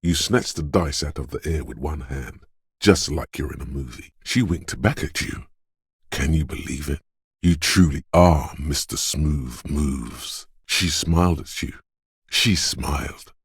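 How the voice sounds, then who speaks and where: An actor playing the coolly urging inner voice of a detective's reflexes in a video game, narrating to the listener in a deliberate, intimate, theatrical way. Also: male voice, dry recording, clean studio sound, exaggerated voice. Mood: admiring. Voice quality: very deep, smooth, resonant. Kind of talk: dramatic second-person game narration.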